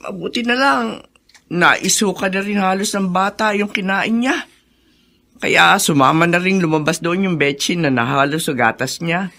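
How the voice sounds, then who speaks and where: A woman's voice: fairly low pitch, normal speed, pushed and bright. A woman playing a caring, easily flustered older housekeeper in a Filipino radio drama, motherly and exclaiming. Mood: worried, grave.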